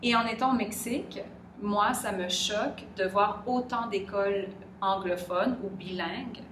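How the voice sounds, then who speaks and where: A middle-aged Québécoise woman with a Québécois French accent, answering interview questions thoughtfully and pausing often to search for her words. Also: mid-range voice. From Quebec. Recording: echoey room, distant mic, background noise.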